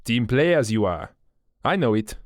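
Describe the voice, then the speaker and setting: A man voicing an older gray-haired French male enforcer with a French accent, courteous and measured with veiled threats. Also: medium pitch, big pitch swings, no room sound, studio quality, natural voice. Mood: silkily menacing.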